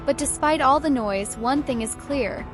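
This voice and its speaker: Higher-pitched woman narrating news. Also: normal speed, music in the background. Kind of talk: scripted news-style narration.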